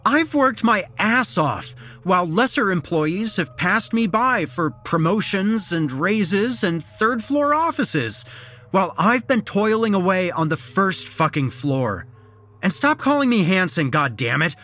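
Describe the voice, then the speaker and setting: A man's voice: mid-range voice, pitched above the performer's own voice, lightly acted. A man voicing a frustrated underdog employee in a dramatized story, earnest and aggrieved. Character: frustrated underdog male employee, pleading then exploding. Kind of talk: dramatized short story reading.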